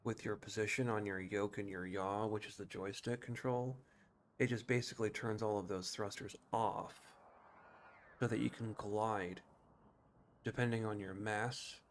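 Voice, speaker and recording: A man's voice: on the low side, fairly even intonation, moderate pace, slight background noise. A man giving casual gameplay commentary as a streamer, thinking aloud and explaining things informally. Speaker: game streamer.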